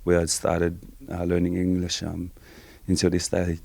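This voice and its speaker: A Samoan-born man, a Samoan speaker, answering interview questions softly and hesitantly with many fillers. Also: low-pitched, completely flat delivery, moderate pace, creaky, dry recording. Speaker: guest.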